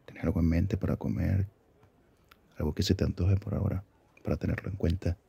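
A man speaking softly and affectionately in an intimate ASMR boyfriend roleplay, with a husky, gravelly voice and an unhurried manner. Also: low-pitched, steady tone. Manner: soft-spoken, affectionate, unhurried.

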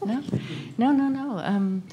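A middle-aged woman moderating a panel discussion, thoughtful and halting as she searches for words. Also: on the high side, dark tone, dull audio. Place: indoor panel event in a plain gallery room. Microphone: handheld microphone.

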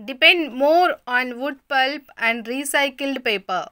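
A woman reading a scripted school lesson as a voice-over, stating each heading and then explaining it in a measured way. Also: high voice, pushed and bright, clear, resonant tone. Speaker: narrator of a school lesson video.

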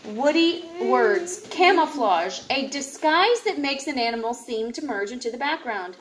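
A woman in her thirties reading a children's nature book aloud at home in an expressive way, with asides to young listeners. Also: sits high, unhurried. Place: indoors, open living room and kitchen at home. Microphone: camera a short distance away. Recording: echoey room with clear background noise.

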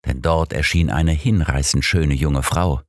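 A man's voice: rumbling low, a little slow, no echo, studio quality. A man reading a detective story as scripted audiobook narration.

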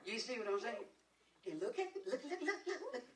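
Woman acting a high-pitched sassy American grandma. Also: sing-song, brisk, distant mic. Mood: knowing, teasing. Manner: folksy, repeats words, calls people baby and sugar. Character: sassy old grandmother dispensing frank marriage advice. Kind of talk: comedic stage play acting. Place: indoor stage set in a hall. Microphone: distant camera. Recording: echoey stage, picked up from afar.

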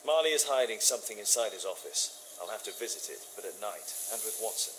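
An actor playing a shrewd, self-assured Victorian detective with a British accent, clipped and commanding. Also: male voice, fairly low pitch, expressive, quick pace, a bit bright, a little hiss or hum. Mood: brooding. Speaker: lead detective character. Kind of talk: dramatic game voice acting, thinking aloud.

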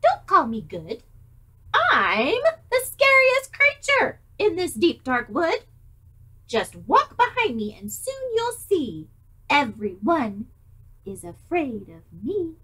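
A woman voicing a small, clever mouse in a storybook, bright and animated. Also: high voice, slow, breathy edge, heavily acted, low-quality recording. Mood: boastful.

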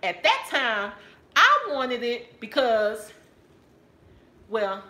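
A woman's voice: on the high side, big pitch swings, drawn-out words, pushed and bright, reverberant. A middle-aged woman with a Texan accent, chatting casually to her viewers about a handbag she found, sitting on a couch at home. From Texas. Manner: chatty and playful, addresses viewers as y'all. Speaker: handbag haul vlogger. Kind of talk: casual haul video talking to viewers. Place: living room couch at home. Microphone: camera or phone on a stand, close framing.

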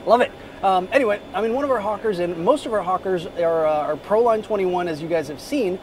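A man's voice: high voice, brisk. Easygoing man in a jet cockpit. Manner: easygoing, many "uh" fillers. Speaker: corporate pilot vlogger. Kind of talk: casual walkthrough video. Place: small jet cockpit, parked outdoors. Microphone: phone or camera held at arm's length. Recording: noisy airport background.

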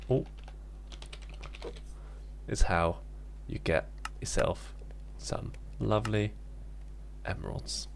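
Low, gravelly man presenting a tutorial. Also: a little hiss or hum. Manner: informal, step-by-step instructing. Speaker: tutorial host. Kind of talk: casual gaming tutorial narration. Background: background music.

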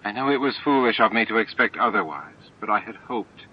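A man's voice: fairly low pitch, animated, a little fast, breathy edge. A man voicing an earnest, idealistic insect-like alien leader in a dramatized audiobook, reasoning with strained patience. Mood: bitter regret. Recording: dull lo-fi audiobook tape with music.

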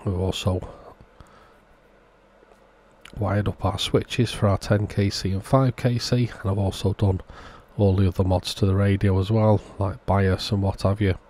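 A man's voice: sits low, flat delivery, normal speed, hardly any pauses, a little mellow, a little hiss or hum. A man narrating a hands-on repair walkthrough in a matter-of-fact, understated way, as the host of a repair channel.

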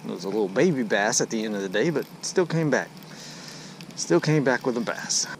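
A man's voice: medium pitch, lively intonation, fairly quick. A man with an American accent chatting casually and playfully as he fishes, repeating himself in a folksy way. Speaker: angler filming a fishing vlog. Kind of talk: casual running chatter while fishing. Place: outdoors on a bass boat on a lake. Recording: noisy outdoor boat audio, picks up everything.

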